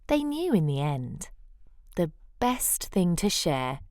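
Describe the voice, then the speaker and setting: Animated woman reading a children's storybook. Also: lowish voice, dry recording. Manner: dramatic, playful, deliberate phrasing. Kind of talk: expressive rhyming storybook read-aloud.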